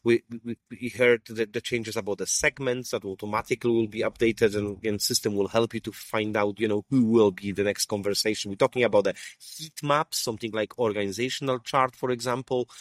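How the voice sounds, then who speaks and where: A man hosting a casual interview on a rooftop, talking in halting bursts with many fillers and restarts. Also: on the low side, no echo. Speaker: podcast host. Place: sunny rooftop at a conference.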